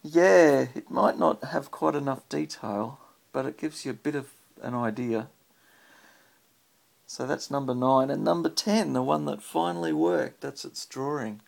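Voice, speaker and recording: An Australian man with a husky, creaky voice, reading a picture book aloud and chatting simply with a child as a parent would. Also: medium pitch, measured pace.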